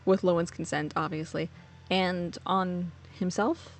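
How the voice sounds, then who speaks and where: A woman's voice: on the low side, steady tone, a little slow. A young woman giving casual let's play commentary, blunt and sweary as she muses aloud. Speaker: game streamer.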